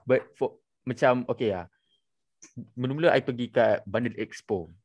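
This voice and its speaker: A young man with a Malaysian accent, chatting casually and mixing Malay and English, animated and swearing freely. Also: medium pitch, moderate pace, dull audio. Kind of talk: casual chat.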